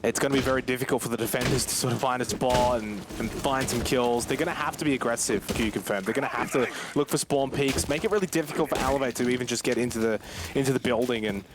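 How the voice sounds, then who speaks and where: Male esports caster, mid-pitched, analytical and conversational, faint game gunfire behind him. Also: fast talker, hardly any pauses, background noise. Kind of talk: live esports commentary. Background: video game gunfire and clicks.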